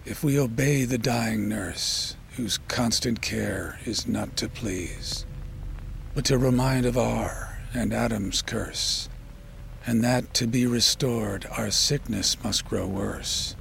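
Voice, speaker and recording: Male poetry reader, solemn and unhurried, gravelly with breathy endings, measured dramatic delivery over faint ambient noise. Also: medium pitch, a bit bright. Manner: measured, solemn, with deliberate pauses. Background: ambient sound design.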